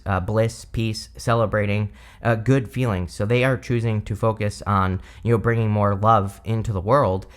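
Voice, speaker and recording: A man with a fairly low voice talks through a tarot spread in a loose, meandering way. He leans on fillers and wanders off mid-thought. His voice is a little hoarse and worn from allergies. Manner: relaxed, rambling, many fillers like "you know" and "uh". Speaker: tarot reader. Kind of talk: casual tarot reading to camera.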